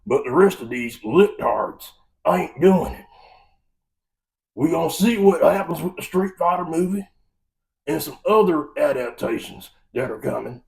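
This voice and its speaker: A gravelly American man with a slightly higher-pitched voice delivers an opinionated movie rant. He is exasperated and emphatic, with a folksy turn of phrase. He sounds a little way off from the microphone. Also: lively intonation, unhurried, a little mellow, distant mic, rough recording. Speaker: film review vlogger.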